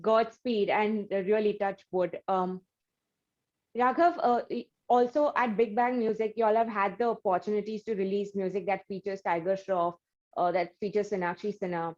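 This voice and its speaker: A woman hosts an interview at a middle pitch. Her questions run long and wander, with "uh" and "you know" throughout. The sound is slightly dull. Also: fairly even intonation, moderate pace, a little mellow. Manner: rambling, searching questions full of "uh" and "you know". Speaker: interview host. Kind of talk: casual interview talk.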